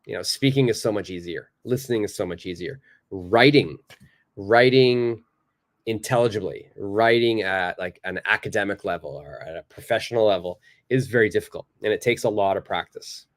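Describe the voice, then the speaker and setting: Guy teaching english on a livestream, kinda low voice, easygoing, lots of uh and you know. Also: normal speed. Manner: relaxed and conversational, many fillers, acts out little examples. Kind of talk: live-streamed lesson answering viewer questions.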